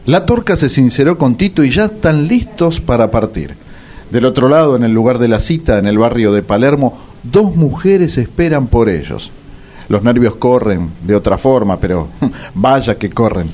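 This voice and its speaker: A man's voice: mid-range voice, expressive, moderate pace, warm tone. A man giving wry, playful scripted narration.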